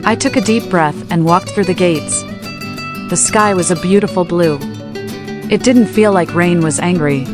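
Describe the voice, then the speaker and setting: Low-voiced woman narrating softly. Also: a little slow. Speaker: audiobook narrator. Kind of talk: scripted first-person narration. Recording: music playing behind.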